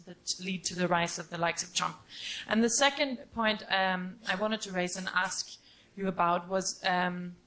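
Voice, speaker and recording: A woman's voice: mid-range voice, sharp tone, rough recording. A woman in her twenties from Norway, a student audience member giving a candid, unscripted comment and question at a debate.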